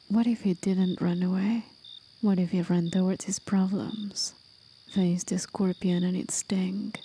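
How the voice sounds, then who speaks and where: Woman as contemplative demon guide for ASMR roleplay: hushed, mellow whisper, unhurried, faint hiss and crickets behind. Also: on the low side. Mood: musing, wistful. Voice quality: soft, breathy whisper. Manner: slow, contemplative, soothing. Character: gentle, musing demon guide, curious about humans.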